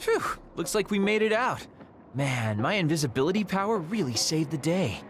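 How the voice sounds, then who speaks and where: A man's voice: a little high, very animated, normal speed, faint music behind. A man voicing a flippant, shady lone wolf in a video game, with a wry and breezy manner. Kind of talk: English video game voice acting.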